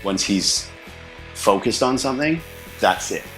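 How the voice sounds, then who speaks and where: A man's voice: lowish voice, lively intonation, unhurried, a bit bright, soft background music, rough recording. A man speaking in an interview about a friend, in short, emphatic statements. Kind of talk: interview talk cut into narration.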